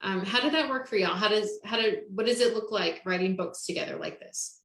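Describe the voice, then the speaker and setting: A woman's voice: medium pitch, fairly quick, a bit bright, echoey room. A woman hosting a casual interview, talking with lots of fillers and easy laughs. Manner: bubbly, filler-heavy, laughs often. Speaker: podcast host.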